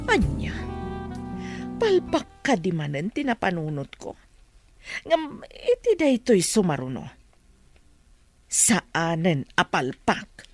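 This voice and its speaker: Slow, low-voiced scheming mother. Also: huge pitch swings, soft background music, heavily acted. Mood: plotting. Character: scheming, domineering middle-aged mother. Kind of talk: Ilocano radio drama acting.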